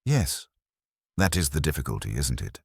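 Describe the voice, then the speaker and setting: A man voicing a mild police inspector who speaks quietly and gently, with dry wit. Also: a bass voice, sing-song, moderate pace, vocal fry, slightly rough, no room sound, exaggerated voice. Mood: soft, thoughtful. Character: mild, dryly witty male police inspector.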